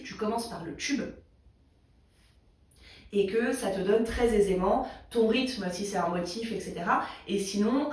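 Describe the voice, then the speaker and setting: A woman's voice: mid-range voice, a little mellow. A woman hosting a knitting video in a casual, rambling chat, with a slightly congested throat and lots of fillers. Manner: rambling, many fillers and restarts. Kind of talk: casual chat thinking aloud. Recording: echoey room, mic far away.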